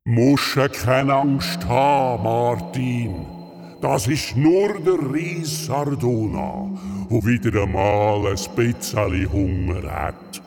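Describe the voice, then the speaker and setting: An actor playing a friendly, mischievous giant in a children's radio play, with a deliberate, comforting manner. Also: male voice, medium pitch, darkish tone. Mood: calm, reassuring. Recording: long reverb, music behind the voice.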